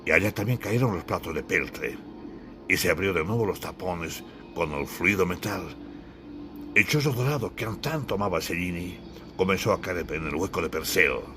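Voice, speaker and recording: A deep-voiced man narrates an audiobook. His delivery is solemn and literary, with a declamatory turn to his phrases. Music plays clearly behind him. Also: animated, moderate pace.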